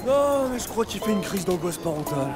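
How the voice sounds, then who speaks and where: A man's voice: high voice, big pitch swings, brisk, loud music behind the voice. A male actor playing a sullen, flippant teenage son with slangy, defensive delivery in an audio drama. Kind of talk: dramatic audio series acting.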